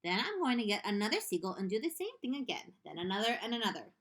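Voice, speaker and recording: A woman's voice: medium pitch, very animated, fast, fairly bright tone. A woman voicing a clever young boy in a read-aloud storybook, explaining his plan firmly and confidently.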